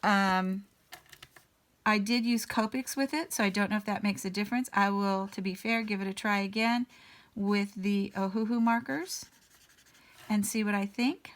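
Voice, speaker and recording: A woman hosting a casual coloring session, a little raspy as she gets over an illness, thinking aloud in an unhurried way with many ums. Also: medium pitch, fairly even intonation. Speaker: artist and channel host. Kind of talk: casual color-along chat.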